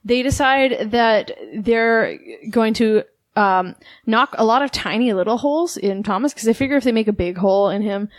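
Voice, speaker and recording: A woman's voice: on the high side, normal speed, few pauses, dry recording. A woman co-hosting an improvised comedy podcast, adding to a made-up tale in casual chat. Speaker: podcast co-host.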